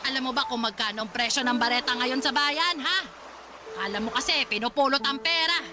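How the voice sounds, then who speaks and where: A woman as a high-voiced cruel old grandmother. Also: a little fast, noisy background, close to an everyday voice. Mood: angry, nagging. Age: older. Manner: scolding, sanctimonious, nagging. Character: cruel, pious, stingy old grandmother, scolding harshly. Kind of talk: Tagalog radio drama acting.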